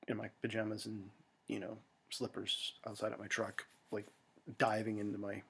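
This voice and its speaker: A man's voice: on the low side, vocal fry, fairly bright tone, slightly rough. An American man talking to friends in a rambling, self-deprecating monologue full of fillers and restarts, recorded at home. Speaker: vlogger.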